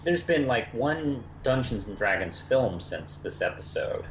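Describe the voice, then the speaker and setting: A man talking casually as a podcast co-host in a review discussion, halting and thoughtful. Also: mid-range voice, expressive. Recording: dull lo-fi sound, roomy echo, noise.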